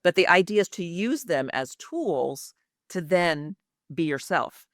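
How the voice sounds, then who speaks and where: Expressive woman coach, dry close sound. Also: fairly low pitch, a little slow, no echo. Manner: expressive, emphatic, trained-actress delivery. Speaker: executive communication coach. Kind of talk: conversational podcast interview.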